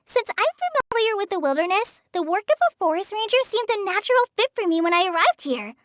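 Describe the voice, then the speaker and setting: A woman's voice: very high-pitched, animated, quick pace, no echo, studio quality. A woman voicing a shy young female forest ranger apprentice in a game, talking hesitantly with sighs and apologies. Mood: gentle. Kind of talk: game character voice lines. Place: studio booth.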